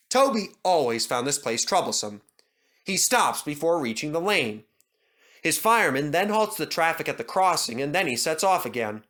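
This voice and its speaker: A man narrating a storybook in an expressive, lively way. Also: fairly high pitch. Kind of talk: storybook reading.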